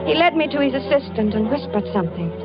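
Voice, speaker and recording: A woman's voice: high voice, animated, fast talker. An actress playing a frightened wife who narrates her husband's death with earnest, anxious storytelling in a 1940s radio drama. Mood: somber. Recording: dull vintage radio recording with music.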